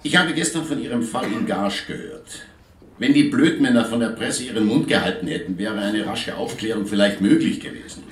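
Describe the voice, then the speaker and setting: A man's voice: on the low side, a little hiss or hum, lightly acted. Man playing a courteous inspector, echoey and distant. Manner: polite, formal, measured. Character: courteous, talkative male Viennese police inspector on video link. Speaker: actor in a radio crime drama. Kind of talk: German radio play acting. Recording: roomy, distant video link sound, music.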